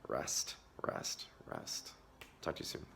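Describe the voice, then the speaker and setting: A man with a cold talking casually to the camera, hoarse and half-whispering at times to spare his voice. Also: takes it slowly, a bit bright, slight background noise. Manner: chatty, confiding, partly whispered to save the voice. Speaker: vlogger. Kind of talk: casual vlog talk. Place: outdoors in the woods.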